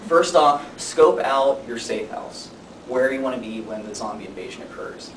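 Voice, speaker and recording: Young guy doing a class presentation, kinda deadpan, far from the mic w/ clear background rumble. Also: normal speed. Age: young adult. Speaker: student speaker. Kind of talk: informative class speech. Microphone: distant camera microphone. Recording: distant mic, echoey room, noisy rumble.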